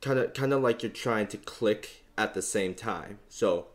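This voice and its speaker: A young man with a very low bass voice walks the listener through beatbox sounds. He talks casually and rambles a bit, leaning on fillers as he explains. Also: unhurried, very noisy. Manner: relaxed, rambling, many fillers. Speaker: beatbox tutorial host. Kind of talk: casual instructional talk.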